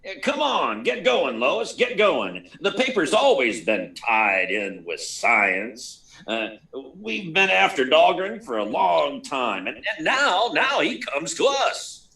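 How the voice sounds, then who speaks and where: A bright, forceful male voice from an actor as a harried newspaper boss in an old radio serial. He stammers and barks clipped orders with big swings in pitch, somewhat off the mic. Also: huge pitch swings, slow pace, creaky, few pauses, full character voice. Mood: gruff, hurried. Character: harried, gruff male newspaper editor in chief. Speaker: actor in a live radio play. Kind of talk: 1940s radio serial acting. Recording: distant, far from the mic.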